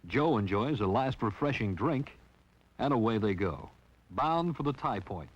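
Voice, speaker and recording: A man's voice: medium pitch, animated, a little slow, slightly rough. A man narrating a 1960s training film in a wry, teasing scripted style. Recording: dull lo-fi 1960s film soundtrack.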